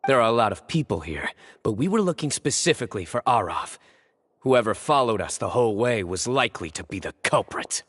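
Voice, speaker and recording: An actor voicing a stern young male judge in a game, calm and deductive in a measured way. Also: mid-range voice, slightly rough. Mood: accusing.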